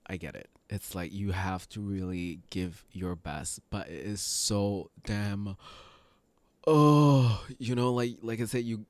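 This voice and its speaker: A man giving casual, emotional reaction commentary as a host, speaking haltingly and often repeating himself. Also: fairly low pitch, darkish tone, dry recording. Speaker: host of music reaction videos.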